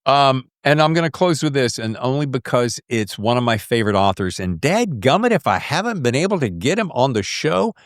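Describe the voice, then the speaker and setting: A man's voice: mid-range voice, big pitch swings, fairly quick, hardly any pauses, no echo, clean studio sound. A middle-aged American man hosting a podcast in a casual, slangy, effusive way. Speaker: podcast host. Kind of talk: casual podcast interview chat.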